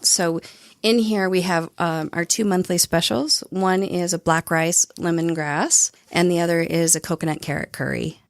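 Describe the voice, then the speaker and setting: A woman's voice: lowish voice, fairly even intonation, moderate pace, dry recording, studio quality. A woman who grew up in Saudi Arabia, a bakery owner answering interview questions in a friendly, earnest way.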